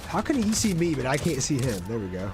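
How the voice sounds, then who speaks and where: Male streamer, medium pitch, informal and slangy, casual gameplay commentary with background music. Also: sing-song, fast talker. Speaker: game streamer.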